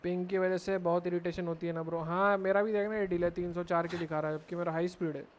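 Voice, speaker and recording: A man's voice: high-pitched, steady tone, rapid-fire, highs cut off, a little hiss or hum. A man chatting casually as he livestreams a game. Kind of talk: casual chat.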